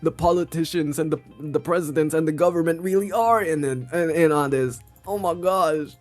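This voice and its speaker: A man streaming a visual novel, reading dialogue aloud and reacting in a theatrical, halting way. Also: on the high side, soft background music. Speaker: gaming streamer.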